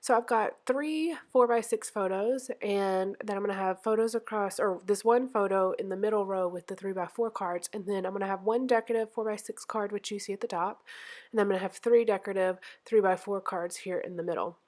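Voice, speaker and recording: A woman's voice: mid-range voice, a little fast, a little mellow. A woman hosting a scrapbooking channel, talking through her craft process in a chatty, rambling way, with a nasal, congested voice as she gets over the flu. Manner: chatty, rambling, frequent ums and self-corrections.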